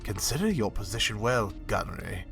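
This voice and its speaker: A man portrays an ambitious general with a fairly deep voice. He is clipped and threatening, giving orders in a comic dub over faint music. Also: lively intonation, normal speed, gravelly, fairly bright tone. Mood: menacing, ambitious.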